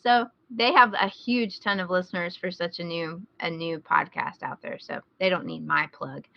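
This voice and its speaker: A woman's voice: fairly low pitch, fairly even intonation, moderate pace, creaky, dry recording. A woman hosting a podcast, chatting casually as she gives recommendations. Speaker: podcast host. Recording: slightly dull, lo-fi close recording.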